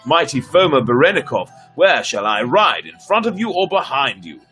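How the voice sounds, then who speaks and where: A man's voice: mid-range voice, very animated, normal speed, sharp tone, faint music behind. A man voicing an earnest, deferential heroic knight in a folk tale, speaking in formal, respectful address.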